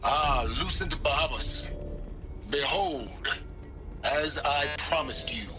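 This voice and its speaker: An actor playing a shrewd, self-assured scholar-schemer in a video game, with a measured, dry and condescending delivery. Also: male voice, fairly high pitch, music in the background. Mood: satisfied. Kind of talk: video game dramatic acting.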